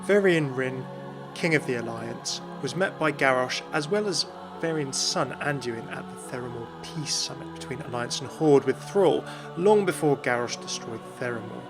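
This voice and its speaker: A man's voice: medium pitch, lively intonation, normal speed, over music. A man giving scripted explainer narration as the host of a video game lore video.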